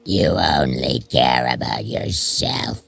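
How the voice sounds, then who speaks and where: A man voicing a menacing, taunting inner presence in an audio drama, accusing and relentless, with a gravelly voice. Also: sing-song, slow, fairly bright tone, dry recording, soft background music, heavily acted. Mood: cruel, accusing. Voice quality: very deep gravelly voice. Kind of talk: fan audio drama acting.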